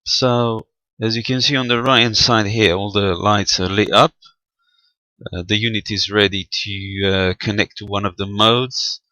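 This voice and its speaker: Male hobbyist demonstrator, low voice with a bright edge, step-by-step explanations with hesitant pauses. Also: steady tone, no room sound. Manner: halting, methodical, explanatory. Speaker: amateur radio hobbyist presenting equipment. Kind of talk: unscripted screen-recorded technical walkthrough.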